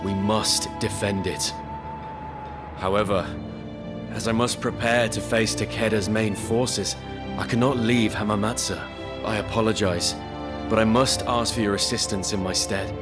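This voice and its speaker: Game role: male actor as courteous warlord of old Japan, grave, lowish voice, under loud orchestration. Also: fairly even intonation, a little slow, over music, lightly acted. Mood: grave, earnest. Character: grave, courteous feudal Japanese lord commanding his forces. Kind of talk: video game cutscene acting.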